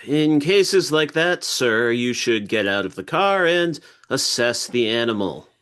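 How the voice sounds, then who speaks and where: A man playing an incredulous police officer of about forty in a table read, deliberate and officious. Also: medium pitch, expressive, fairly bright tone, clear, resonant tone.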